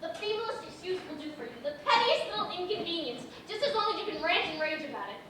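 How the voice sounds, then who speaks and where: An actress playing a haunted, fearful young wife with a bitter, cutting delivery. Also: female voice, extremely high pitch, lively intonation, quick pace. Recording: very echoey, distant mic, clear background noise.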